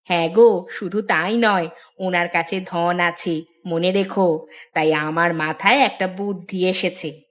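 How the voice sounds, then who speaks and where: A woman's voice: fairly low pitch, fairly even intonation, unhurried, lightly acted. A woman playing a cunning, greedy village wife who plots and coaxes in a theatrical cartoon manner. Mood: scheming. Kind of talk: Bengali cartoon dialogue.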